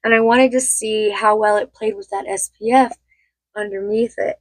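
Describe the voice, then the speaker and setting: A woman in her thirties with a cold-roughened voice, chatting casually and rambling a little while doing her makeup, laughing often. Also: medium pitch, steady tone, moderate pace, no echo, rough recording. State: hoarse from a cold. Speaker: beauty vlogger. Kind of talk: casual get-ready-with-me chat.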